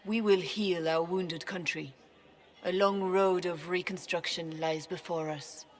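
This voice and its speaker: An actress playing a regal, composed empress in a video game, speaking in a stately, formal manner. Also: female voice, fairly low pitch, fairly even intonation, measured pace, lightly acted. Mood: proclaiming. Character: regal, composed empress addressing her court. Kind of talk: theatrical video game acting. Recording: long hall reverb, music behind.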